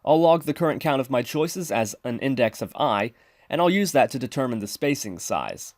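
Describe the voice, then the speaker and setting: A man presents a tutorial in a methodical, step-by-step way. His voice sits at a middling pitch and is recorded close and dry, with very little room sound. The delivery is that of scripted instructional narration. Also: lively intonation, normal speed, darkish tone, dry recording. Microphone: close mic, screen-recording voice-over.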